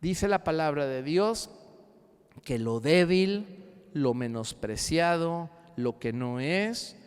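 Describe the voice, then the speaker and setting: High-voiced preacher in a big echoing hall. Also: male voice, expressive, slow, smooth. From Mexico. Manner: swings between loud rising cries and hushed low asides. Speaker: pastor. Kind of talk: sermon. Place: church sanctuary.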